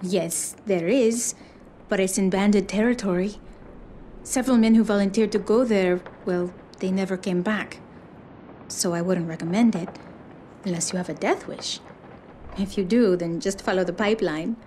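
A woman's voice: medium pitch, moderate pace, warm tone, slight background noise, natural voice. An actress playing a guarded, sardonic young village woman in a video game, dry and dismissive. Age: young adult. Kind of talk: video game cutscene acting.

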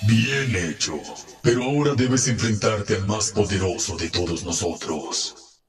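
A ghostly scarecrow villain from a cartoon, acted by a man. He is slow and theatrical, threatening in a fairly low voice, with loud music behind him. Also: lightly acted. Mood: grudging, mocking. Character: menacing ghostly scarecrow villain, taunting a child. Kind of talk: fan-dub cartoon villain acting in Spanish. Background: loud game music.

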